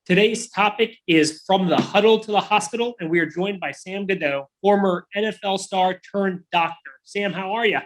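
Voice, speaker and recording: A man's voice: high voice, moderate pace, hardly any pauses, low-quality recording. A man hosting an interview show, chatting casually and reacting eagerly to his guest.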